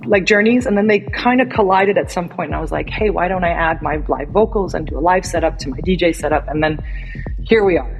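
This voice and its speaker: Chatty low-voiced woman DJ guest. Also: brisk, highs cut off, soft background music. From Denmark, raised in Egypt. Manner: chatty, repeats words and restarts often. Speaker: guest DJ and singer. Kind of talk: casual interview chat.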